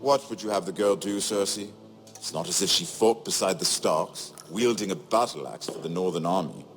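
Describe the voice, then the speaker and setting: A man's voice: sits low, normal speed, soft background music. An actor playing a shrewd, sardonic nobleman in a fantasy game, with a dry, wry and courteous manner. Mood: wry sarcasm. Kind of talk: dramatic fantasy game acting.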